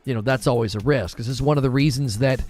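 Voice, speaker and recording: Relaxed forty-year-old man chatting. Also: mid-range voice, fast talker, faint music behind. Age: 40s. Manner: relaxed and conversational, musing aloud with fillers. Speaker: gaming channel host reading live chat. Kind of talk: casual livestream chat.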